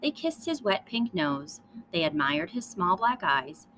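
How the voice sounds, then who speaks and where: A woman reading a children's story aloud in an expressive style with dramatic emphasis. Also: on the low side, measured pace, slight background noise, rough recording. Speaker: storybook reader.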